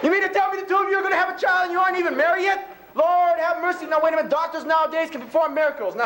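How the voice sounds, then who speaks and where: A man's voice: very high voice, pushed and bright, pitched above the performer's own voice. A man voicing a bigoted old rancher grandfather in a folksy drawl, reciting a dramatic monologue poem. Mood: exasperated. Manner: folksy drawl, exclaiming, colloquial rural speech.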